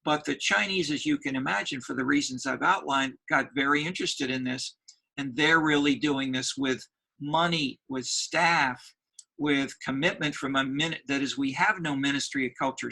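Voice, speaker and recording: Male professor lecturing a class; gravelly voice with a bright, forceful edge; halting delivery full of restarts. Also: medium pitch. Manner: halting, many restarts and repeated words. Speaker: university professor.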